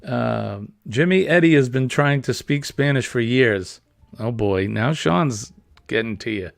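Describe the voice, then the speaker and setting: A man hosting a relaxed online chat, reading out viewer comments between laughs. His voice has a gravelly, creaky edge and sits close and dry against the mic. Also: mid-range voice, a little slow, no room sound, faint music behind. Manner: chatty, reads viewer comments aloud with laughs. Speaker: host. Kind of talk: casual livestream chat.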